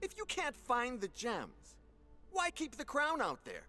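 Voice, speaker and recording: An actress playing a haughty, ruthless council official in a video game, imperious and cutting. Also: female voice, a little high, huge pitch swings, measured pace, bright, forceful tone, exaggerated voice. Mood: insistent. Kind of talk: video game cutscene acting.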